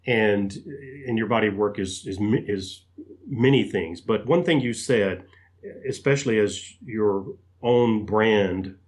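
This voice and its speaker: A man's voice: fairly low pitch, slow. Man hosting an interview with rambling questions. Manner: rambling, circling questions with many restarts. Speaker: podcast host, interviewer. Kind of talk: conversational interview.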